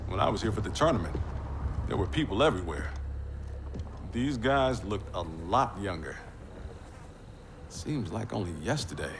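An actor playing a gruff, confident, wry soldier in a cinematic action video game. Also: male voice, on the low side, animated, moderate pace, pauses often, background noise. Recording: loud music bed behind the dialogue.

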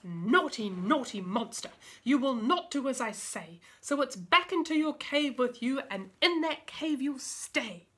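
A woman's voice: sits high, animated, unhurried. A woman voicing a cross, bossy little fairy in a storybook, scolding firmly and emphatically. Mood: stern scolding.

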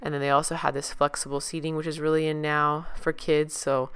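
Female vlogger, low smooth voice, casual and enthusiastic haul narration. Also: level tone, brisk, a bit bright. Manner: informal, enthusiastic. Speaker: vlogger, parent. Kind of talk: casual chatty shopping haul voice-over.

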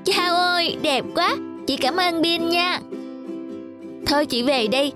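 A performer voicing a kind, cheerful little girl with a big-sisterly manner in an animated children's tale. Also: very high voice, a little slow, over music.

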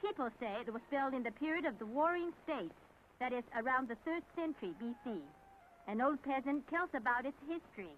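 A woman narrates a 1970s propaganda documentary in a very high voice. Her delivery is formal and declamatory, read from a script. The old film soundtrack is thin and tinny, with noise under the words. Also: expressive, a little fast.

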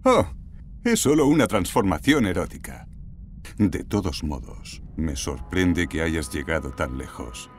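An extremely deep-voiced ancient warrior, played by a man, grave and portentous, as in a cartoon. Music sits behind his stately lines. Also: very animated, a little slow. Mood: grave, measured. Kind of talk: cartoon fandub acting.

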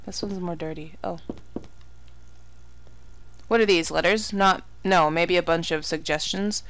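A woman's voice: fairly low pitch, steady tone, unhurried, fairly bright tone, slight background noise. A woman giving casual horror game commentary, exclaiming, swearing and muttering asides to herself. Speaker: let's play gamer.

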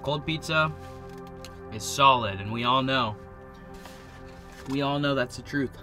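A man's voice: mid-range voice, measured pace, over music. A young man talking casually to camera from inside a truck camper at night, chatty and joking. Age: young adult. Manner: chatty, laid-back, joking. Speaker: vlogger. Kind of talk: casual vlog talk to camera. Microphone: camera mic at close range.

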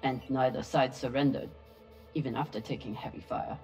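Game role: male actor as a pragmatic ship's captain, mid-pitched, measured and dry, music underneath. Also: steady tone, darkish tone, close to an everyday voice, rough recording. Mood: dry, disdainful.

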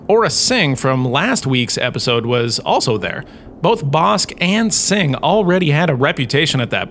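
Man playing a lively, glib hologram lecturer. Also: fairly high pitch, slight background noise, full character voice. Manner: wry, chatty, dramatic asides. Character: glib, theatrical male hologram university lecturer. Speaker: host. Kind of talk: scripted comic lecture.

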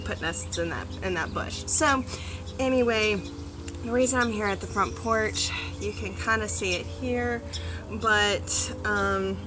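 A woman in her thirties giving a casual gardening vlog outdoors on a front porch, chatty, with many ums and animated asides. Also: medium pitch, a little slow, over music. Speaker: gardening vlogger. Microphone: phone or camera on a small tripod.

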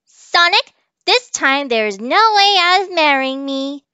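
A very high, swooping voice of a peppy lovestruck cartoon hedgehog girl, as a woman puts her on. She exclaims eagerly, bright and close on a dry mic. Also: lively intonation, slow, dry recording, muffled recording, heavily acted.

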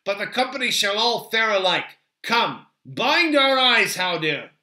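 A man voicing a commanding, fair-minded male leader of a company in a storybook, loud and decisive. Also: sits high, big pitch swings, a little slow, pitched above the performer's own voice, heavily acted. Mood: exasperated. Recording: roomy, echoing sound.

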